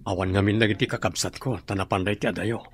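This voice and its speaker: A man's voice: lowish voice, steady tone, fast talker, close to an everyday voice. A man playing a persuasive lover coaxing his sweetheart to run away, in a radio drama. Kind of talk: Ilocano radio drama acting.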